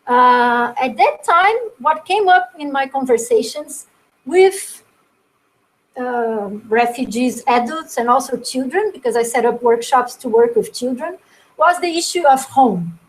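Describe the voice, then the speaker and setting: A woman giving a thoughtful webinar talk about her work, often pausing and repeating words as she searches for the next phrase. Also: high voice, animated, low-quality recording. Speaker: guest speaker presenting her work. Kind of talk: webinar presentation.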